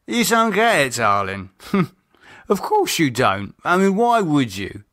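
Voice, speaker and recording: A man voicing a bitter, sardonic, self-loathing drunk in an audiobook, halting and sneering as he talks. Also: on the high side, wildly swooping pitch, a bit bright, no echo, clean studio sound, voice pushed up, exaggerated voice. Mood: bitter, despairing. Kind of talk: audiobook character dialogue.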